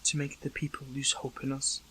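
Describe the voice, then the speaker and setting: A man playing an earnest, hurt captive superhero in an audio roleplay, speaking in halting, pleading phrases. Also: mid-range voice, monotone, a bit bright, a little hiss or hum, natural voice, low-quality recording. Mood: challenging, frustrated. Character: captive male superhero, earnest, hurt, questioning an old friend. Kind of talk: dramatic audio roleplay acting, one side of a dialogue.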